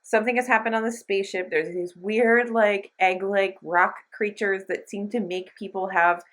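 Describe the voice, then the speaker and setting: A woman's voice: mid-range voice, steady tone, moderate pace, fairly bright tone. A woman hosting a book review channel, chatting casually to camera in a gushing, emphatic, informal way with mild slang.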